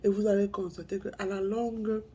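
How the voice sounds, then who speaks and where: A woman with a cold speaking to her viewers in a slow, halting monologue. She repeats herself and drops to near-whispers. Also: mid-range voice, level tone, warm tone, breathy edge. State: has a cold, congested. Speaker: spiritual speaker.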